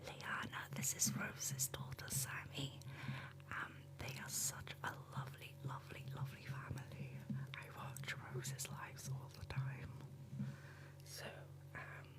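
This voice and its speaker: A woman hosting a whispered ASMR livestream, chatting softly with her viewers close to the microphone. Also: unhurried. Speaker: livestream host.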